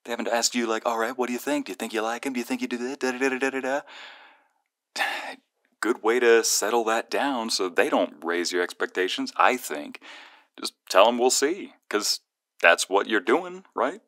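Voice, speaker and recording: A man's voice: mid-range voice, normal speed, fairly bright tone, no echo, studio quality, close to an everyday voice. A man playing a playful, protective young male friend who is secretly fond of the listener, in a one-sided ASMR roleplay phone call with teasing, earnest banter. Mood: animated, joking.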